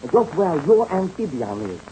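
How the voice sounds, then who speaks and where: An actor playing a polite, earnest captured French aviator with a French accent and formal broken English in a 1930s radio serial. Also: male voice, high voice, sing-song, normal speed, dark tone, low-quality recording. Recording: hissy old-time radio serial recording.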